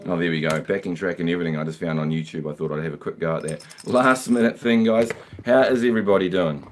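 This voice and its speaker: Middle-aged man, slightly croaky, casual live-stream host, chatty, faint guitar in background. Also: low-pitched, quick pace. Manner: chatty, reads out viewer comments.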